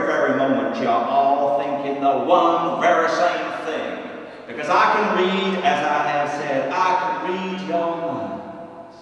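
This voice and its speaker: A grandiose, sly messenger of the devil, played by a man on stage, preaches with a Texan drawl. The voice sits a little high and is heard from far off in a very echoey space. Phrases are hammered home and repeated like a revival preacher's. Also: a bit bright. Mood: grandiose, teasing. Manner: grandiose, emphatic, drawn-out repetitions for effect. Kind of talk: theatrical stage monologue, mock revival preacher. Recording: echoey hall from far off, faint noise.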